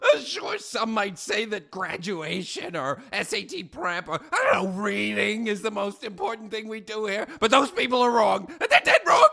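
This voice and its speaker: Casting: an over-excitable high school principal, piercing and bright, wildly animated, audio comedy. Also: normal speed, gravelly, few pauses, heavily acted. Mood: manic. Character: manic, excitable high school principal obsessed with prom sales.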